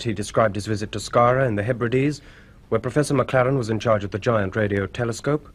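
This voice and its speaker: A sober male journalist, played by an actor introducing a radio mystery. His scripted narration is deliberate and foreboding, set at a medium pitch. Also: natural voice. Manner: deliberate, ominous. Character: sober male journalist presenting an ominous archive investigation.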